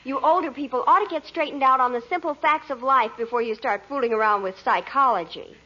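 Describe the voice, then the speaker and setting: An actress playing an earnest, lovestruck American teenage daughter with a dramatic streak in a 1940s radio comedy. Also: female voice, sits high, a little fast, bright, forceful tone. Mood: indignant. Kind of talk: 1940s radio sitcom acting. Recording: muffled 1940s radio broadcast.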